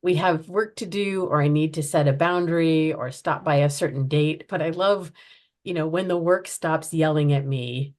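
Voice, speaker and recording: American woman on a podcast, deep-ish voice, earnest, lots of restarts. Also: normal speed, a little mellow. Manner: earnest, searching for words with restarts. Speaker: guest, project founder. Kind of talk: podcast interview.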